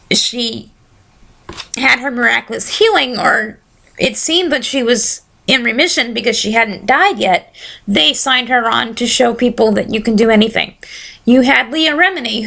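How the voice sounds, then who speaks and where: A woman's voice: on the high side, vocal fry, pushed and bright. A woman hosting a casual solo podcast, chatting and reading aloud, her voice slightly scratchy today. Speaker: podcast host.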